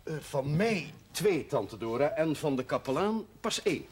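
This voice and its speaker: An actor playing a genial parish priest in a television period drama. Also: male voice, a little high, very animated, measured pace, slightly rough, exaggerated voice. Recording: faint noise on old television soundtrack.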